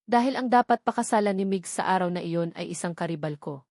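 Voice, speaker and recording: A woman's voice: on the low side, a little fast, a little mellow, no room sound, clean studio sound, close to an everyday voice. Woman voicing a scheming, jealous rich woman, falsely sweet. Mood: bitter, angry. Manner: mocking, taunting, mixes English into Tagalog.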